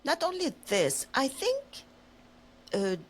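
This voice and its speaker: A woman co-hosting a podcast, speaking English as a non-native. She talks thoughtfully, rambling a bit and hesitating often. Her pitch rises and falls freely. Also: huge pitch swings, takes it slowly. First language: not Dutch, not English. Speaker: podcast co-host. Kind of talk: casual chat.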